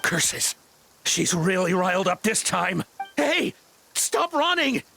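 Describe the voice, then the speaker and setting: A man playing a gruff, exasperated fighter in a video game, shouting. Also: high voice, very animated, slow, a little hiss or hum, exaggerated voice. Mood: angry.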